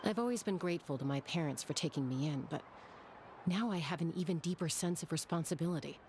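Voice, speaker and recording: A woman voicing a quiet, grieving young adult in a game, subdued, with noise behind. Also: deep voice, fast, natural voice.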